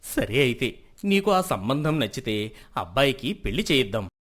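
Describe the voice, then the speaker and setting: A man's voice: medium pitch, big pitch swings, normal speed, fairly bright tone. A man playing a proud, status-minded middle-aged merchant husband in a moral story, self-justifying and then conceding. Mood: agreeable.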